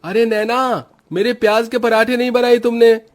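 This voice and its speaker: A man's voice: extremely high pitch, steady tone, moderate pace. A man voicing a demanding young husband in a cartoon family story. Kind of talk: animated moral story dialogue.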